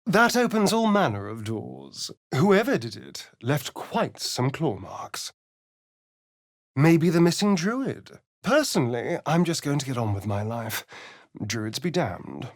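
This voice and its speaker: A man's voice: a little high, full character voice. An actor playing a centuries-old male vampire elf, arch and theatrical in video game lines. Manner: theatrical, arch, relishing words.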